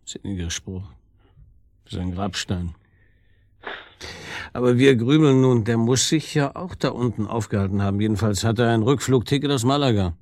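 A man's voice: medium pitch, moderate pace, long pauses, darkish tone, no echo, studio quality, natural voice. An actor playing a police detective in a naturalistic radio drama, wry and probing, hesitant as he thinks aloud.

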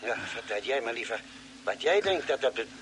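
An actor playing a patient, commanding male spaceship doctor in a Dutch radio drama, speaking deliberately. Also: medium pitch, animated, fairly bright tone, natural voice. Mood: calm, explaining. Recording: noisy old radio drama recording.

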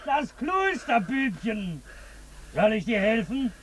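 An actor playing a gleefully mocking robber and murderer in a theatrical, jeering manner, in 1950s German radio drama style. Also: male voice, high-pitched, very animated, slow pace, a bit bright, heavily acted. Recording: very noisy 1950s radio recording.

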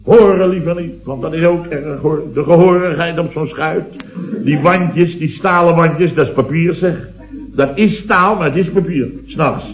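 An actor with a Dutch accent playing a grumbling, boastful building-firm boss who spins indignant, drawn-out yarns in a radio comedy. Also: male voice, on the high side, close to an everyday voice. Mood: exasperated. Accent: Dutch, from the Netherlands. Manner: indignant, drawn-out storytelling with repeated tag words. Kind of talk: radio comedy acting, anecdotal monologue. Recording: dull, roomy vintage radio recording.

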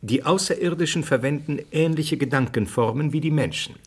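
An actor playing a thoughtful scientist who explains things deliberately in a radio drama. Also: male voice, on the high side, slightly rough. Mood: pondering, explanatory. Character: thoughtful male scientist musing on alien minds. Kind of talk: German radio drama acting.

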